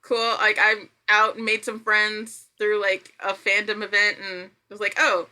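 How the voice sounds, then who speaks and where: A woman with a bright, forward voice at an ordinary pitch, chatting as a guest on a podcast. She tells anecdotes in an animated, self-deprecating way, often quoting what she was thinking. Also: a little slow. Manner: animated, self-deprecating, quotes own thoughts aloud. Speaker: podcast guest, writer and creator. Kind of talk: casual chat, anecdotal storytelling.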